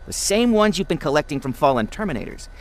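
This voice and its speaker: Fussy egghead scientist in a war game, highish voice, real bouncy intonation, bit pedantic. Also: male voice, sing-song, fast. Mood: keen, explanatory. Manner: explanatory, slightly pedantic. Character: fussy, eager male resistance laboratory director. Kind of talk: dramatic video game acting.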